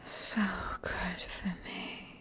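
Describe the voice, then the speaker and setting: Slow, whispering British vampire woman, acted out by a woman. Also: sharp tone. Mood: teasing, menacing. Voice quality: breathy whisper. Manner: slow, teasing, condescending endearments. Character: sadistic, possessive female vampire, seductive and menacingly tender. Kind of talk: whispered ASMR roleplay.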